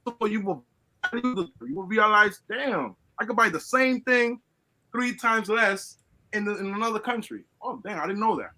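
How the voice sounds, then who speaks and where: Colombian guy, high bright voice, preachy and earnest, keeps restarting his words. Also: moderate pace, soft background music. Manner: earnest, lecturing, many restarts. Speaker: guest caller. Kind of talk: casual opinion talk.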